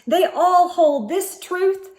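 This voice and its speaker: A woman with a high voice reads a rhyming picture book for young children. Her storytelling is animated and expressive, and she takes her time over the lines. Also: slow. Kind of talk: expressive picture-book read-aloud in rhyme.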